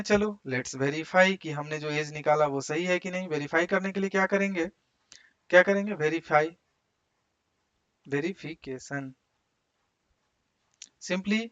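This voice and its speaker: Casting: Indian-accented man, higher voice, school lecturer who explains in steps and keeps asking check questions; slightly dull lo-fi sound. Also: a bit bright, smooth. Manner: explains step by step with frequent rhetorical questions and check words. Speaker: maths teacher. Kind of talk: school maths lecture in Hindi mixed with English. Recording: dull lo-fi recording.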